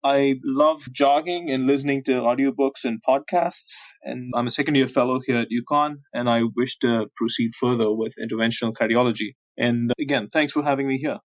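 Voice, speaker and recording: A man's voice: mid-range voice, steady tone, fairly quick, hardly any pauses, dry recording, lo-fi sound. A man from southern India who introduces himself with polite formality as a podcast guest. The recording is dry and close. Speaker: cardiology fellow, guest.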